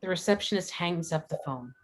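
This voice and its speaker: A woman's voice: on the low side, expressive, brisk. A woman narrating scripted stage directions for a screenplay at a table read.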